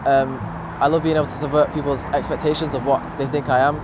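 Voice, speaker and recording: A man's voice: mid-range voice, level tone, fast. A young man, a student, speaking thoughtfully and searching for words in a documentary interview. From raised in Manchester, born in London. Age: young adult. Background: music.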